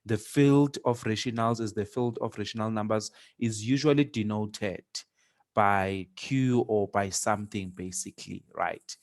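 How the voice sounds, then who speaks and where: A man's voice: lowish voice, unhurried. A man teaching a maths lesson online, hesitant, with many fillers and restarts.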